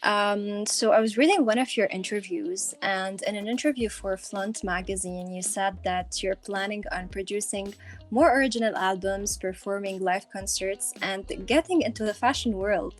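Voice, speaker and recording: A woman's voice: mid-range voice, moderate pace, faint music behind. A woman hosting a podcast, asking a guest questions in a casual conversational way. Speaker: podcast host, interviewer.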